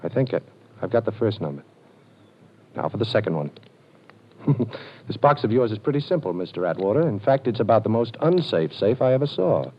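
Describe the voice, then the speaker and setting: A man playing a calm, dryly amused detective with an ex-con past in a vintage radio drama. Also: lowish voice, brisk, pauses often, slight background noise. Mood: cool. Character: cool wry ex-con detective, male adult, posing. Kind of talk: 1940s radio acting. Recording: dull old radio broadcast.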